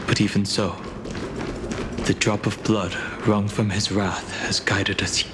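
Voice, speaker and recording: A man voicing a grave, low-voiced figure with a heavy, measured delivery in a game cutscene. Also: level tone, background noise.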